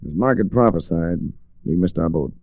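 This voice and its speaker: A man's voice: low-pitched, expressive, normal speed, a little mellow, no echo. A man playing a cool, world-weary American adventurer in 1940s radio drama, laconic and dryly wry. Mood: brooding narration. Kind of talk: 1940s radio drama acting and first-person narration.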